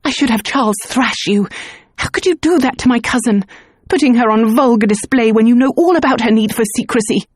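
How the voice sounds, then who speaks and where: Young woman, furious, indignant, high pitch, quick, woman voicing, storybook. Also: breathy edge, dry recording, clean studio sound. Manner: indignant, sharp.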